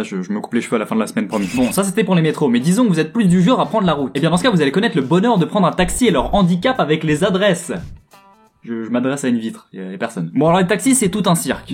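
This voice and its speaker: Fast-talking young Frenchman, slightly husky. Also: fairly high pitch. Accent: French from France. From France. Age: 20s. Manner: cheeky, slangy, talks directly to viewers with teasing asides. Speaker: host of a video blog about living abroad. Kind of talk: casual, humorous explainer talk to viewers.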